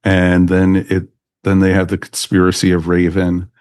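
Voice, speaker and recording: A man's voice: low voice, completely flat delivery, moderate pace, darkish tone, clean tone, no echo. A man hosting a podcast in casual chat, rambling along with a fan's enthusiasm. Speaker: podcast host.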